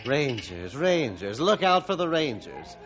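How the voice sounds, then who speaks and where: An actor playing a stubborn, irritable railroad boss with a domineering manner in a 1950s radio drama. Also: male voice, mid-range voice, sing-song, unhurried, noisy background. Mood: irritated. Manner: blustering, domineering. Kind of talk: 1950s radio adventure acting.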